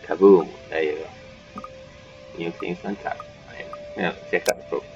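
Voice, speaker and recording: Slow, gravelly gamer thinking aloud. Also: male voice, low-pitched, darkish tone, lo-fi sound, faint music behind. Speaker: gaming channel host. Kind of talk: casual game playthrough commentary.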